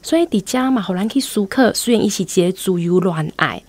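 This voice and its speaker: A woman's voice: medium pitch, expressive, a little fast, darkish tone. A woman with a Taiwanese accent giving explanatory literary commentary as a guest professor, using frequent tag particles.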